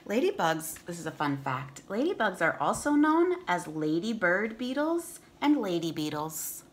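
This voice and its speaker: A woman's voice: on the low side, sing-song, moderate pace, a little hiss or hum. A woman in her thirties reading a children's picture book aloud as a teacher, enunciating for young listeners and explaining as she goes. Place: room in a home.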